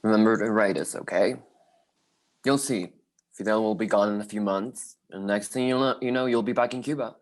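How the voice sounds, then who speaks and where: A man's voice: fairly low pitch, moderate pace, lightly acted. A man reading the part of a Cuban judge and father sending his son away, earnest and fatherly, in a play table read. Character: stern but tender Cuban father, a judge.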